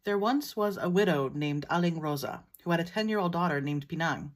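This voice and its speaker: A Filipino American woman with a fairly low voice, retelling an old folktale to a friend. She keeps it relaxed and matter-of-fact. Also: fairly quick. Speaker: storyteller. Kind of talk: casual storytelling.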